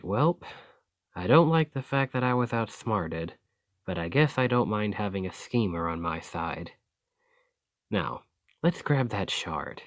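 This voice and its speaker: A man's voice: fairly low pitch, dry recording, clean studio sound. A man playing a roguish bandit leader in an ASMR roleplay, teasing and grudgingly impressed, with folksy turns of phrase. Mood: wry, accepting. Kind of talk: ASMR roleplay acting, one-sided dialogue.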